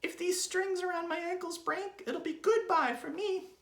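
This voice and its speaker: A man voicing a gullible old wife from a storybook in a frantic, squawking falsetto. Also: steady tone, normal speed, vocal fry, fairly bright tone, roomy sound, heavily acted. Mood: strained, anxious. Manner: frantic, gasping exclamations.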